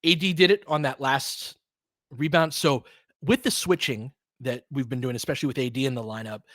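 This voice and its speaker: A man's voice: mid-range voice, moderate pace, fairly bright tone, dry recording, clean studio sound. A man hosting an informal live play-by-play, commentating and analysing in a conversational, fan-like way as he chats with listeners. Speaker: live audio play-by-play host.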